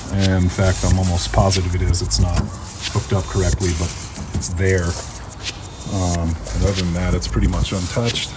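American man with loud music behind him. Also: low-pitched, low-quality recording. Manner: colloquial, opinionated. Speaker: car restorer presenting a find. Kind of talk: casual walkaround vlog.

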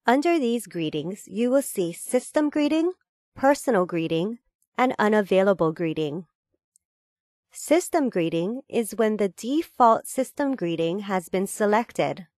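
Woman narrating a tutorial, dry and measured. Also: mid-range voice, expressive, a little mellow, no echo. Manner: measured, formal, step-by-step. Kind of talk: scripted instructional narration.

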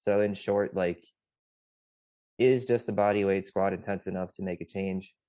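A man's voice: low-pitched, fairly even intonation, normal speed, dark tone, no echo. A man co-hosting a podcast, explaining strength training and rehab in a technical, lecturing way. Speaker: podcast co-host, physical therapist. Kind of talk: casual podcast discussion.

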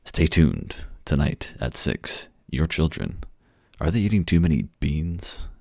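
A man's voice: extremely low pitch, sing-song, unhurried, gravelly, a little mellow, no room sound, clean studio sound, heavily acted. A man voicing a solemn evening news promo announcer in a mock-serious, portentous manner.

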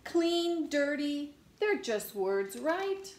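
A stubborn pigeon from a storybook acted out by a woman, highly animated and high in pitch. He is defensive and theatrical, stretching out his words in mock indignation. The room adds a noticeable echo. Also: drawn-out words, slight background noise, heavily acted. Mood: indignant, defensive. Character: stubborn, defensive, dramatic male pigeon from a picture book. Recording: roomy indoor echo.

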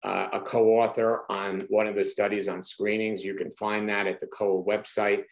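A man's voice: lowish voice, flat delivery, normal speed, creaky, poor audio. A man giving an earnest, conversational presentation over a video call, with frequent fillers and restarts. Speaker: executive director presenting research. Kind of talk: online presentation talk. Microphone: video call webcam.

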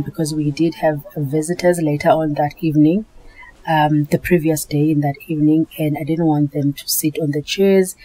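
A South African woman narrating her cleaning vlog in a relaxed, chatty voice-over, often repeating herself. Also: deep voice, husky, low-quality recording. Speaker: home cleaning vlogger.

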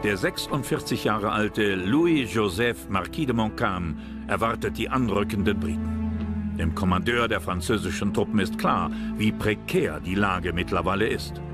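A man narrating a historical documentary from a script in a measured, serious manner. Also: lowish voice. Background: loud music bed.

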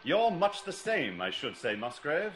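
An actor playing a shrewd, self-assured gentleman detective in a classic radio drama, brisk and incisive. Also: on the high side, sing-song, faint music behind. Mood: dry, probing. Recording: noisy old radio recording with clicks.

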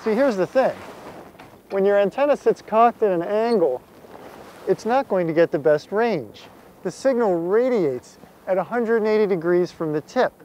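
A man's voice: sits high, animated, normal speed, darkish tone, a little hiss or hum, low-quality recording. A middle-aged American man presenting a scripted how-to to camera in a direct, folksy way, addressing viewers plainly. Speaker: presenter, magazine contributing editor. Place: outdoors on a small open boat on the water.

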